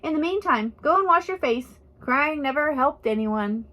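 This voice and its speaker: A woman voicing a gruff, calm missionary woman in her seventies in a storybook, lecturing matter-of-factly. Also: high voice, moderate pace, muffled recording. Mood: firm, lecturing. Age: 70s. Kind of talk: storybook character reading.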